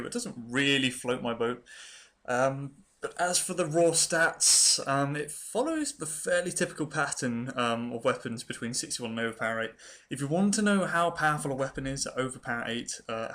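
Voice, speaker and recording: A man's voice: medium pitch, a bit bright. A British man giving casual, unscripted game showcase commentary in an informal, hesitant and understated way. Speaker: gaming video creator and host.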